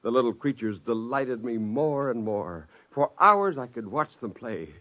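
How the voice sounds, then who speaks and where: Actor as an animated, excitable scientist father. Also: mid-range voice, a little slow, close to an everyday voice. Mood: gleeful narrating. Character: excitable, gleeful scientist father narrating his secret creation. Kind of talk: 1950s radio drama narration and acting. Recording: dull lo-fi old radio, music underneath.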